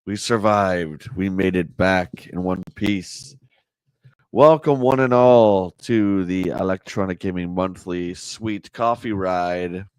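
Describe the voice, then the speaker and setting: A man with a hoarse voice hosting a casual livestream, chatting and answering viewer comments. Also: low voice, steady tone, slow pace, darkish tone, dry recording. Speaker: livestream host. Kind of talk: casual live chat reading a magazine.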